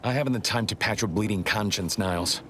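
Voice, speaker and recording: An actor playing a grave, guarded rebel spymaster in a video game, speaking in a formal, articulate way. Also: male voice, on the low side, fast, fairly bright tone, a little hiss or hum, close to an everyday voice. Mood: grave, urgent. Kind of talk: dramatic video game dialogue.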